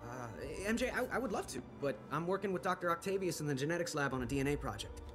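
A man's voice: fairly high pitch, expressive, fast, over music, close to an everyday voice. An actor playing a young adult male scientist hero, easygoing and joking.

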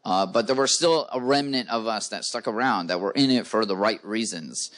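A man's voice: fairly low pitch, normal speed, a bit bright. A man speaking as a guest in an onstage conference interview, explaining in long runs full of "uh" fillers, into a handheld mic. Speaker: guest, company co-founder. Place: conference stage before a live audience.

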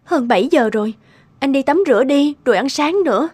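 A woman playing a busy, attentive young wife and mother in an animated drama. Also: high voice, fairly quick, no room sound, clean studio sound. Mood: gentle, brisk. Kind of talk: dubbed animated drama acting.

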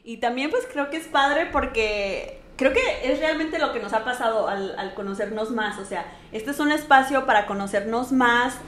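A woman's voice: on the high side, expressive, normal speed, hardly any pauses, fairly bright tone, slight background noise. A young woman from Mexico, co-hosting a podcast in casual conversation, talking freely and correcting herself as she goes. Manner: chatty, self-correcting, thinks aloud. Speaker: podcast co-host. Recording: echoey room.

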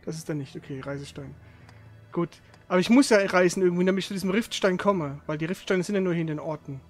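Male streamer, notably high voice, rapid delivery, casual, sweary, exasperated gameplay chatter. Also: faint music behind. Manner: frustrated, grumbling, sweary. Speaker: video game streamer.

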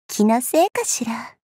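A woman voicing a petite, haughty, teasing goddess for a game, with elegant, coy, ladylike speech and a soft, breathy tone. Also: high-pitched, no echo, studio quality, full character voice. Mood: adoring. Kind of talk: Japanese mobile game character voice lines.

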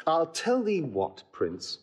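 A witty bachelor in old stage comedy, highish voice, big swoops, jokey and declaiming. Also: male voice, huge pitch swings, slow pace, slightly rough, full character voice. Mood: jubilant. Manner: jovial, teasing, declamatory. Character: witty, boisterous confirmed bachelor giving in to love. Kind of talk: classical stage comedy acting for audio.

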